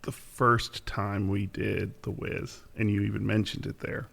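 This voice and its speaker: A man's voice: lowish voice, flat delivery, unhurried, creaky, fairly bright tone. A man co-hosting a podcast, reminiscing enthusiastically in casual chat and often restarting his sentences. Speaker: podcast co-host.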